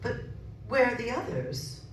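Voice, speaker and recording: A woman's voice: fairly high pitch, lively intonation, a little slow. Woman acting an anxious daughter, very echoey. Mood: puzzled. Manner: earnest, dramatic. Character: anxious young daughter, sensible but frightened. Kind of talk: 1930s-style radio horror acting. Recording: very echoey room, distant mic, faint noise.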